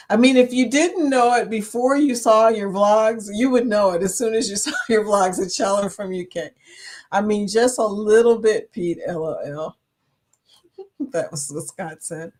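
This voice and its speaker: A woman's voice: mid-range voice, a little slow. Chatty woman hosting a live chat. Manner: laughs often, chatty and animated, reads out comments. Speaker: livestream chat host. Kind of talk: casual live chat, reading viewer comments.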